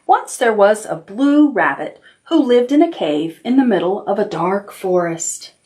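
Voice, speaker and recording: A woman's voice: mid-range voice, animated, a little slow, warm tone. An American woman leading storytime for toddlers, speaking in a sing-song read-aloud style with simple prompts for small children.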